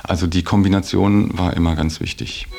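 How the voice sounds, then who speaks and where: Middle-aged male interviewee, very low creaky voice, thinking aloud in slow-forming, hesitant phrases. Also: steady tone. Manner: halting, thoughtful, often ending phrases with a tag word. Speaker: interviewee in a radio feature. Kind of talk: reflective personal account in conversation.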